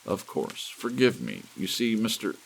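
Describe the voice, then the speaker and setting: A man performs an elderly, brainy scientist in a sci-fi audiobook. He lectures briskly and emphatically in a low, creaky voice with animated pitch, over a faint hiss of rain. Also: full character voice, poor audio. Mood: lecturing. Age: 60s. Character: brisk, brainy elderly male scientist, intent and self-assured.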